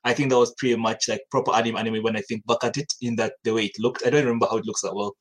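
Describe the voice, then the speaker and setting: A man's voice: medium pitch, steady tone, racing. A young man from Uganda, a studio co-founder, chatting casually on a podcast with many restarts and easy laughs.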